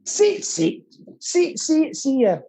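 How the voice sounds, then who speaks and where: A man's voice: very high voice, very animated, slow, creaky, dark tone, low-quality recording. A middle-aged man hosting a live quiz with camp, rambling, self-deprecating banter. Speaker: quiz host.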